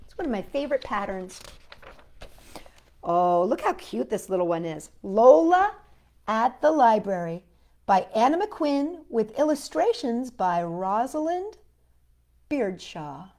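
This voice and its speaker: A woman's voice: on the high side, darkish tone. A woman hosting a children's storytime, reading a picture book expressively and chatting to young listeners in asides.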